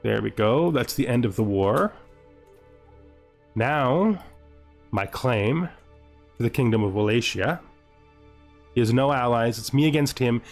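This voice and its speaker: A man's voice: medium pitch, expressive, measured pace, pauses often, soft background music. A man hosting a gaming video, thinking aloud in casual commentary and playfully gloating with in-character asides.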